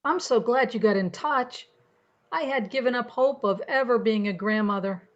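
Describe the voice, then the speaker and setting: A woman's voice: on the high side, normal speed, lightly acted. Woman playing a polite, firm grandmother, dull recording. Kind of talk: amateur radio play acting.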